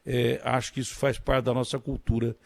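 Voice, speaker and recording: A man's voice: mid-range voice, flat delivery, a little fast, vocal fry, no room sound, studio quality. A man with a Brazilian Portuguese accent hosting a radio interview, speaking in a conversational, emphatic way. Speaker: radio host.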